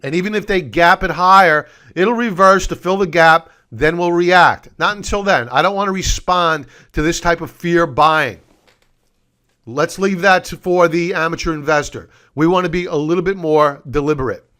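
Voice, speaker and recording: Hoarse guy walking thru stock charts, kinda high voice but smooth, steady explainer. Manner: deliberate, explanatory, addresses viewers as folks. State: hoarse, losing his voice. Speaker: market commentary host. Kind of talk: solo market recap over charts.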